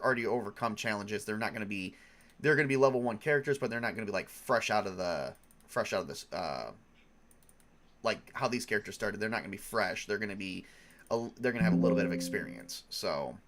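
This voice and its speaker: A man's voice: fairly low pitch, expressive, quick pace, fairly bright tone. A man with a cold, stuffed up, chatting casually as a tabletop game master on a stream, rambling with many fillers and restarts. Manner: rambling, lots of ums and restarts. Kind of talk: casual live-stream chat.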